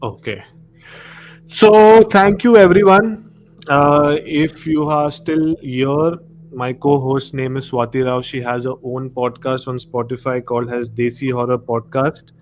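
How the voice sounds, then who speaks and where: A man's voice: mid-range voice, fairly even intonation, unhurried. A man with an Indian accent speaking casually as the host of a live podcast discussion. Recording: clearly noisy live-stream audio.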